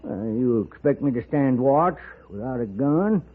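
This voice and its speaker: An actor playing a cantankerous, wounded old outlaw with an American accent, grumbling and stammering in a folksy way in a 1950s radio Western. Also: male voice, mid-range voice, lively intonation, measured pace, breathy edge. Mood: suspicious, grumbling. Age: older. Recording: noisy, phone-like 1950s radio recording.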